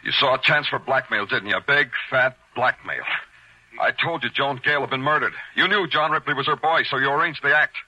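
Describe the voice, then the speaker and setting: An actor playing a sardonic police detective in 1940s radio noir, with clipped, wry, needling delivery. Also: male voice, mid-range voice, brisk, bright, forceful tone, dry recording, dull audio. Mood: hard, pressing. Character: hard-boiled, sardonic male police detective. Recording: old, rough-sounding radio drama recording.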